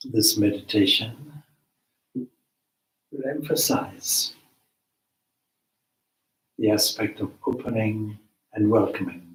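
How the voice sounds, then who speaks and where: A man leading a guided meditation as a teacher, with measured, deliberate phrasing and long pauses. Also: lowish voice. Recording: roomy, echoing space.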